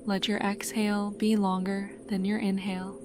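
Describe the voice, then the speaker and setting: A woman narrating a scripted guided sleep meditation in a calm, soothing, unhurried manner. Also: medium pitch, background noise.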